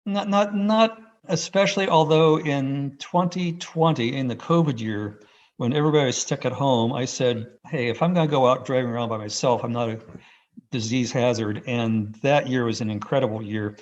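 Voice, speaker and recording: A man giving an unscripted, rambling first-person account in halting bursts, as a radio hobbyist on a video call. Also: medium pitch. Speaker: amateur radio contest operator. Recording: dull, band-limited video call audio.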